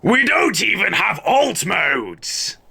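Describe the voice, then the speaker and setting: High, croaking robot grunt. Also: male voice, sing-song, slow pace, pushed and bright, heavily acted. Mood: sullen, resigned. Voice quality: raspy, strained. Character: dim gravelly robot grunt, a captured criminal twin. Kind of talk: animated-style audio drama acting.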